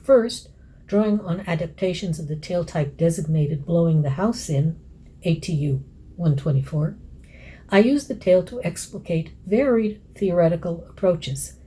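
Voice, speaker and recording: A woman's voice: lowish voice, moderate pace, dark tone, slightly rough, low-quality recording. A woman lecturer reading aloud from her scholarly essay. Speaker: academic author and lecturer.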